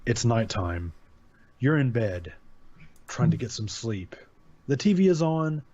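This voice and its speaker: A man's voice: mid-range voice, big pitch swings, slow pace, darkish tone. A man hosting his channel, reading a short creepypasta aloud in a casual tone and stopping to comment on its grammar errors. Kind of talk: casual story reading with asides. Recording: dull lo-fi sound.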